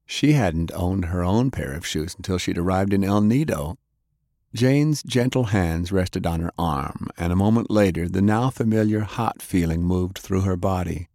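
Man, low-pitched, audiobook narrator, scripted adventure fiction, dry close sound. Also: normal speed, dark tone, no room sound.

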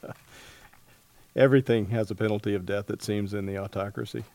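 A man hosting a casual interview, speaking haltingly as he thinks aloud. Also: lowish voice, fairly even intonation, dark tone. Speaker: interviewer, host.

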